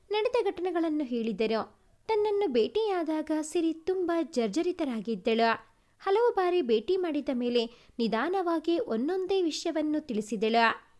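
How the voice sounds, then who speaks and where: A woman reads a sentimental family story aloud as a storyteller, in a measured, formal way. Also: high-pitched, darkish tone. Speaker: storyteller, narrator.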